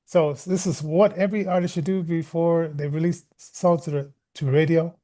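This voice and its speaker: A middle-aged or older man rambling casually as an online radio host, halting and repeating words, often chuckling. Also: fairly high pitch, steady tone, warm tone. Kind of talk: casual rambling livestream talk.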